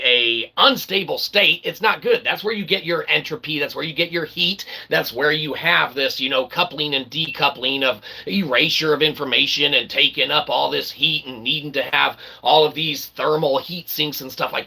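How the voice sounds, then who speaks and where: A man with a gravelly, fairly high-pitched and very sharp voice delivers a loose, rambling stream lecture. He is brash and irreverent, pokes fun at himself, and keeps punctuating his points with little check-in words. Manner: brash, irreverent, sweary, self-deprecating; repeatedly tags phrases with "okay" and "all right". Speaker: independent streamer explaining ideas. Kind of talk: informal rambling explainer livestream.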